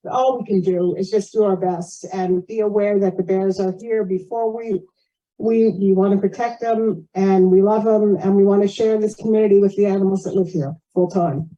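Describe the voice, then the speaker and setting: A woman's voice: medium pitch, warm tone, low-quality recording. A woman speaking informally at a meeting on a video call, in a chatty, rambling way.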